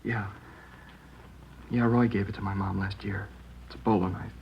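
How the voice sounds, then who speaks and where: A dull, faintly noisy vintage radio recording carries a shaken American schoolboy. He speaks low and haltingly, upset and plain-spoken, in crime drama style. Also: flat delivery, creaky. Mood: subdued, halting. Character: shaken schoolboy who found his mother killed.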